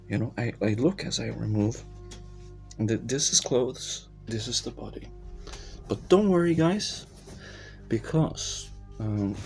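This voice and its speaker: A man speaking English as a second language, calmly narrating his meditation in a slow, hesitant, soft-spoken way with many fillers, in a quiet room at night. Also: medium pitch. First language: not English. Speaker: meditation vlogger. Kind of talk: calm narrated live meditation.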